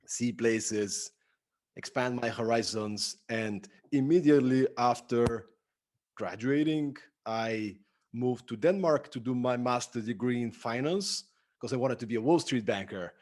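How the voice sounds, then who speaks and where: Man, from Bulgaria, mid-pitched voice, enthusiastic, emphatic, conversational podcast guest speaking as an entrepreneur. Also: unhurried, darkish tone. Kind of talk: conversational interview answers.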